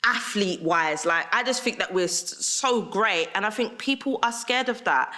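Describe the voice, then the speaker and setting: A woman's voice: medium pitch, animated, moderate pace, sharp tone. A woman speaking earnestly in a casual panel discussion. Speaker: panel host.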